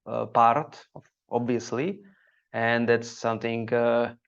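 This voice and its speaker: Slovak guy w accent, gravelly voice, kinda monotone, dull lo-fi sound. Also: medium pitch. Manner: halting, searching for words. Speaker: guest comedian. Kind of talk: casual podcast conversation. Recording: dull lo-fi audio.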